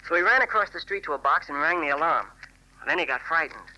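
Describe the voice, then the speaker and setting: Cub reporter teen: keen and sincere, high-edged sharp tone, 1940s radio acting. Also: male voice, animated, fast talker, dull audio. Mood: earnest, urgent. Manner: earnest, boyish, eager storytelling. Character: eager, earnest teenage cub reporter boy.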